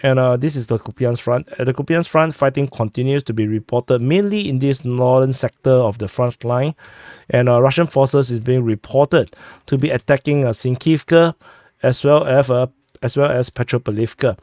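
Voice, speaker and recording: A man's voice: mid-range voice, a little mellow, dry recording, clean studio sound. Man with a cold giving map briefings. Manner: halting, many fillers and restarts. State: sick, clearing throat. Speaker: war news host. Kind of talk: map briefing over a war update. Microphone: close mic.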